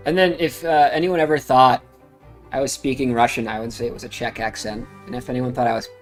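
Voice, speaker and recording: A man's voice: mid-range voice, steady tone, a little fast. A man casually talking through crypto charts on a livestream, rambling with frequent fillers. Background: music playing.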